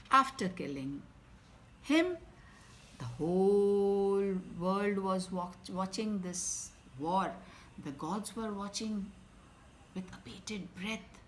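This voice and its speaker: A woman with an Indian English accent reading a story aloud to children, swinging from loud emphasis to soft whispers. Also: medium pitch, slight background noise. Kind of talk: expressive bedtime story reading.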